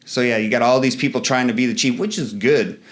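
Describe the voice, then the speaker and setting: A man in his thirties, a Marine veteran guest in an interview, talking casually with many fillers and restarts and acting out quoted exchanges. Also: brisk. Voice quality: fairly high voice for a man.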